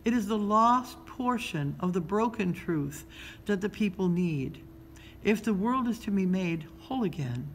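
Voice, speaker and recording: Storybook old she-turtle, played by a woman: lowered soft voice, patient, solemn, noisy background. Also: expressive. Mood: wise, patient. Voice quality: low, rumbling yet soft. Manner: slow, gentle, solemn storybook speech. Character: ancient wise gentle female turtle, patient and solemn. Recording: clearly noisy background ambience.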